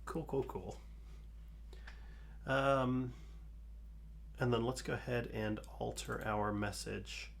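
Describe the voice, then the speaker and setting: A man hosting a live coding stream, talking calmly through his code with a soothing voice and many fillers. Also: fairly low pitch, vocal fry, slight background noise. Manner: slow, calm, talks through code with fillers. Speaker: livestream host.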